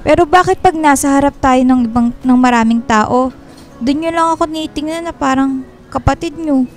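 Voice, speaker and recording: A woman's voice: high voice, moderate pace, darkish tone, close to an everyday voice. A woman playing a timid, hurt young girl with a Filipino accent in a radio drama, hesitant and pleading. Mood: hurt, questioning. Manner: hesitant, meek and polite, pleading. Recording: music bed behind the voice.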